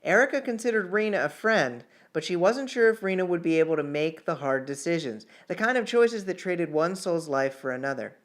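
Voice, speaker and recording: A man's voice: on the high side, animated, fairly quick, few pauses, fairly bright tone. A man narrating fiction in an audiobook style, reading aloud with the odd small stumble. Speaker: author reading own novel chapter.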